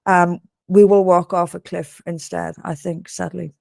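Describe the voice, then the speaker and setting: A woman's voice: lowish voice, flat delivery, unhurried, vocal fry, darkish tone, no echo, clean studio sound. A woman from Dublin with an Irish accent, speaking earnestly as a guest author in an interview, restarting and repeating words as she presses her points. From Ireland. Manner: emphatic, repeats words when building a point.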